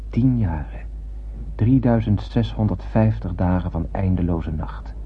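An actor playing a brooding young spaceship crewman, narrating in a solemn, poetic and measured way in a radio drama. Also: on the low side. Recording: muffled, hissy old radio drama recording.

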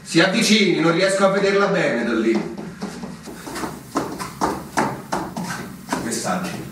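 An actor playing an ageing, ailing army captain who is weary and stubborn, in a brooding theatrical radio drama style. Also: male voice, on the high side, very animated. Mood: tired, formal. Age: middle-aged. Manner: brooding, halting, swelling to impassioned pleading. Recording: very echoey, distant, noisy stage recording.

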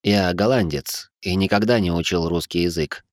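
A man plays a courteous middle-aged Dutch gentleman who speaks slowly and evenly. His voice is low and dry, with a faintly amused politeness, as in an audiobook scene. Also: no room sound, natural voice. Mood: polite.

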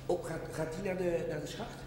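An actor playing an amiable, modest chaplain who speaks hesitantly and repeats himself, in a television drama. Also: male voice, on the high side, fairly even intonation, brisk, close to an everyday voice. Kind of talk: television period drama acting. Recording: echoey hall with background noise.

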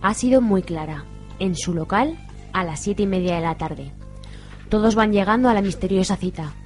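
A woman's voice: medium pitch, normal speed. A woman narrator reading a scripted radio soap opera story. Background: soft music.

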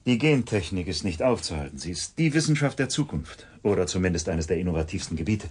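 A man's voice: sits low, sing-song, fast, darkish tone, a little hiss or hum. A man playing a proud, defensive geneticist in a radio drama, formal and assertive in his speech. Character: defensive, proud male genetic scientist, stiff and clipped.

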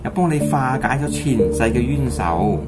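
A woman's voice: extremely low pitch, expressive, normal speed, over loud music. A woman playing a resolute, compassionate Taoist priest in a radio drama, firm and assured. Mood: reassuring. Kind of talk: Cantonese radio drama acting.

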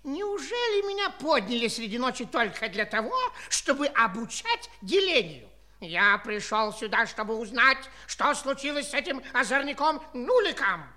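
A woman's voice: a little high, big pitch swings, moderate pace, sharp tone. A woman playing a grumpy, indignant character who makes demands, in a children's audio play.

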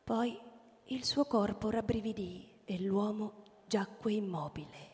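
A woman's voice: mid-range voice, a little slow, mellow. A woman narrating a literary radio drama from a script. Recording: long hall reverb.

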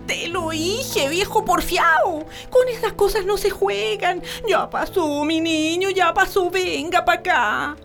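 Mujer campesina chilena actuada, voz agudísima y pausada. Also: female voice, very high voice, fairly bright tone, faint music behind, heavily acted. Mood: comforting. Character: scolding, comforting rural mother or wife. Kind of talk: radio drama acting.

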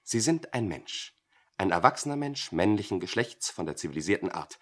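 An actor playing a pedantic, unworldly young office thinker in a German radio drama, dry, exact and over-literal. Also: male voice, low-pitched, big pitch swings, moderate pace, fairly bright tone, full character voice.

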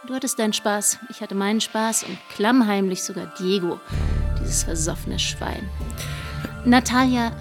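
A woman's voice: mid-range voice, normal speed, natural voice. An actress in a radio drama playing a bold, worldly lover, passionate and bluntly direct, with music behind her. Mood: resigned, scornful. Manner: direct, challenging.

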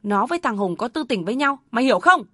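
A woman voicing an angry, shrill older mother-in-law who scolds and wails. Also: very animated, fast, a bit bright, dry recording, clean studio sound. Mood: furious.

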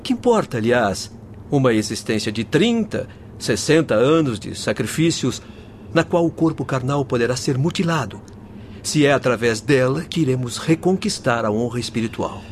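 An actor with a Brazilian accent playing an earnest, firm young doctor giving solemn counsel in a radio drama. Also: male voice, mid-range voice, expressive, moderate pace, slight background noise, natural voice. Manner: solemn, exhorting.

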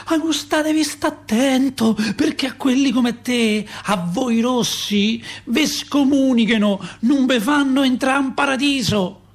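A man voicing a fervent, pious wife warning her husband in drawn-out, imploring Roman-accented phrases, in a radio play. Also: extremely high pitch, pitched above the performer's own voice. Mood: alarmed, preachy.

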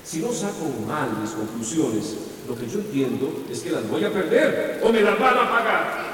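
Indignant Costa Rican landlord, high voice, reverberant distant hall. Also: male voice, very animated, normal speed, fairly bright tone, slight background noise. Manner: indignant, accusing. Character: indignant male homeowner and landlord. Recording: echoey hall, voice from far off.

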